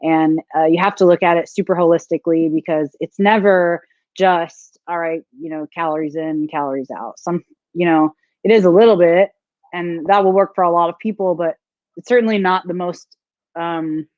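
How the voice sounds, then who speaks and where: A woman's voice: fairly low pitch, steady tone, normal speed, a little mellow, no echo, lo-fi sound. A woman, a strongwoman and nutrition coach, talking thoughtfully with many fillers on a video call. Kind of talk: casual video call interview.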